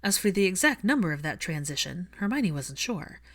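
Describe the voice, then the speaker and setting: A woman's voice: fairly low pitch, big pitch swings, brisk, fairly bright tone. A woman reading fiction aloud as an audiobook narrator, in a scripted storytelling style.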